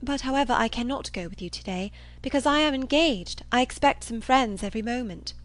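Woman playing an earnest, high-voiced naive young woman. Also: fairly quick, no room sound, close to an everyday voice. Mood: hesitant. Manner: earnest, questioning.

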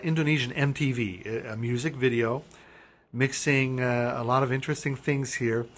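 A man's voice: medium pitch, a little hiss or hum. A man narrating an online music lecture, explaining loosely with many fillers and restarts.

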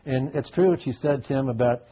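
A man's voice: mid-range voice, normal speed, warm tone, husky, dull audio. A middle-aged man chef giving a live cooking demo in a television studio kitchen, talking casually with lots of fillers while he works, on a lapel mic. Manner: folksy, talks while cooking with frequent fillers. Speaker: restaurant chef.

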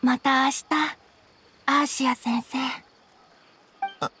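A polite, hesitant young woman speaks slowly in a high, subdued voice. It is anime game acting with faint music underneath. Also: flat delivery, bright, forceful tone, heavily acted. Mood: dazed, wistful.

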